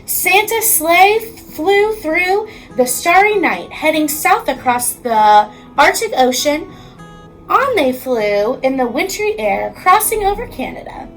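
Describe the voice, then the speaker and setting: An American woman, a teacher, reading a children's storybook aloud with expressive narration. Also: very high-pitched, slow, faint music behind, low-quality recording.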